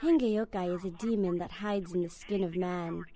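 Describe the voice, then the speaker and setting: An actress playing a stern, vengeful young demon hunter with a Japanese accent and solemn, terse broken English. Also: female voice, lowish voice, steady tone, normal speed, warm tone, close to an everyday voice. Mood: grim, determined.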